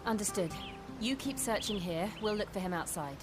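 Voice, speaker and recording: A woman's voice: mid-range voice, normal speed, over music, natural voice. Composed young heroine, played by a woman. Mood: resolved. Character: composed, polite, determined young woman on a quest. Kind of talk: video game cutscene acting.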